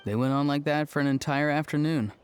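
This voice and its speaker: A man playing an easygoing young villager who tells stories in a relaxed, dryly amused way. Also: on the high side, a little fast, clear, resonant tone, soft background music, natural voice.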